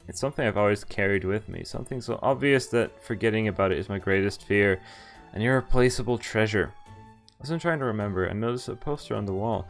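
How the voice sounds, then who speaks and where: A man's voice: lowish voice, quick pace. A man streaming a visual novel, reading its dialogue aloud and commenting casually. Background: game music.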